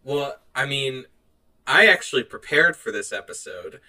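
Male co-host, bright edgy tone, mid pitch, opinionated and casual. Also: animated, moderate pace. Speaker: podcast co-host. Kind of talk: casual chat about movies.

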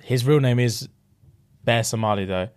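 A man's voice: medium pitch, normal speed, a little mellow, smooth. A man with a London British accent, co-hosting a podcast in casual, joking banter with plenty of slang and swearing. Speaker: podcast co-host.

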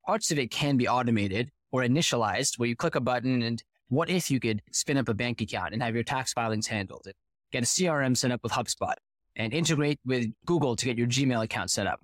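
Man, 30s, founder-guest from Boston, mid-pitched, fluent storyteller, dry close sound. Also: no room sound. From United States (Boston). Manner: fluent, animated storyteller who poses rhetorical questions. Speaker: podcast guest, company founder. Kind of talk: casual interview storytelling.

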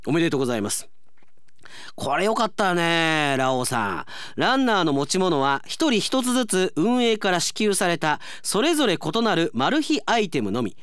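A man's voice: high voice, a bit bright, no room sound, studio quality. A man hosting a radio segment, chatting and reading out listener mail as an announcer.

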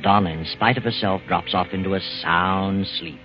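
An actor playing the ominous narrator of an old-time radio suspense tale, speaking in a measured, dramatic manner. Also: male voice, low voice, soft background music, lightly acted. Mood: ominous, hushed suspense. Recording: dull vintage radio recording.

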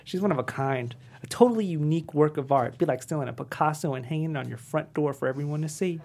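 A woman's voice: low voice, fast, darkish tone. A woman playing a cocky, sarcastic, foul-mouthed beer-league hockey captain in a comedy script read, slangy and sardonic.

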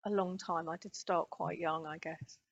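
A British woman of fifty, chatting warmly and animatedly as a guest about her spiritual work, over slightly dull audio. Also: lowish voice, fairly even intonation, normal speed, creaky. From Great Britain. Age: 50s. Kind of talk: casual conversational interview.

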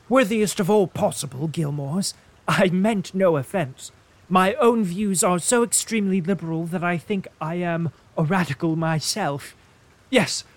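A man voicing a fussy, peevish, languid invalid gentleman who talks in an affected, whining way. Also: high-pitched, no room sound, voice pushed up, heavily acted.

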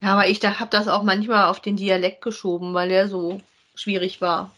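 A woman's voice: medium pitch, little pitch movement, moderate pace, fairly bright tone. A woman chatting casually as a podcast co-host, making brief, plain remarks. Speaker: podcast co-host reviewing audio dramas. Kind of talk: casual chat, reviewing audio plays.

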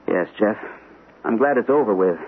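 A low-pitched troubled army captain, earnest and shaken, in an old radio mystery. The sound is thin and boxy, with clear hiss from an aged broadcast. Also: male voice, expressive, normal speed. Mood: weary, relieved. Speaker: radio actor, also narrating in character. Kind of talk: old-time radio mystery drama acting. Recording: old radio broadcast, narrow tinny band, hissy.

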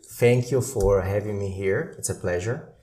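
A man from northern Italy, a painter answering interview questions in a relaxed way. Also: fairly low pitch, moderate pace. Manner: relaxed, recalls his past with fillers and restarts. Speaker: guest painter. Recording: echoey room with faint noise.

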